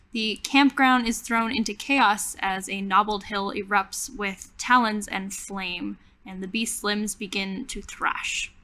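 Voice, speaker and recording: A woman reading scripted tabletop game narration in a solemn, measured storytelling manner. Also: medium pitch, pushed and bright. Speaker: game facilitator reading ritual text. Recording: music playing under the voice.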